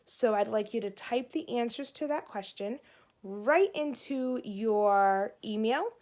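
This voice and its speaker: A woman narrating a children's storybook aloud in an expressive, deliberate way, with clearly phrased storytelling, like a teacher. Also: a little high.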